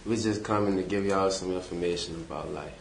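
A man's voice: deep voice, a little fast, gravelly, echoey room, slight background noise. A twenty-year-old man co-hosting a talk, telling a personal story with energy and speaking straight to the listeners. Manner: lively storyteller, addresses listeners directly. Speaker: podcast co-host. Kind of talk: casual storytelling.

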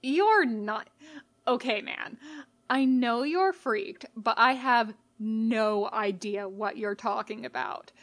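A woman voicing a gentle, casual male skeleton monster in a story, earnest and puzzled. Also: fairly high pitch, takes it slowly. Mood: baffled.

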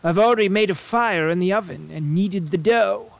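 A man putting on a shrill wicked old witch. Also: moderate pace, gravelly, poor audio. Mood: harsh. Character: wicked old witch woman, scornful, greedy.

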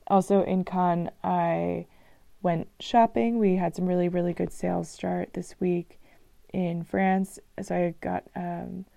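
A woman chatting casually in a travel vlog, rambling and relaxed, with frequent ums and restarts, on a dull, lo-fi recording. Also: on the low side, takes it slowly, gravelly, dry recording. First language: English. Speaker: vlogger.

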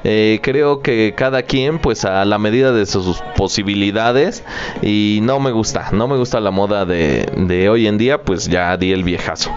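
A man's voice: lowish voice, unhurried. Casual Mexican man, dull lo-fi sound. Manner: informal, joking, slangy. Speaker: podcast host. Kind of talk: casual solo listener question-and-answer chat. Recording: dull lo-fi audio with music behind. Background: music.

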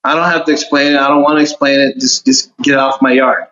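A man's voice: medium pitch, level tone, quick pace, pushed and bright, highs cut off. A man in his forties, a guest on a casual podcast, talking candidly and in a laid-back way. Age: 40s.

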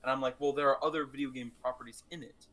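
A man's voice: medium pitch, fairly even intonation, quick pace, a bit bright. Playful man in casual podcast chat. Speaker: podcast co-host. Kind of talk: casual chat about movies.

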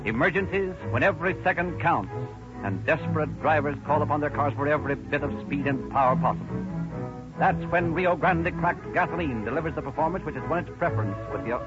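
A man's voice: on the high side, lively intonation, fairly quick. A man announcing in formal, emphatic 1930s radio style. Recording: muffled old radio broadcast with music.

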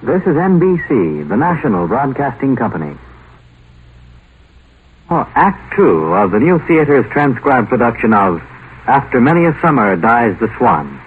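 A man's voice: mid-range voice, very animated, normal speed. Formal male announcer, tinny old radio. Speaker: network announcer. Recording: noisy, tinny 1950s radio broadcast.

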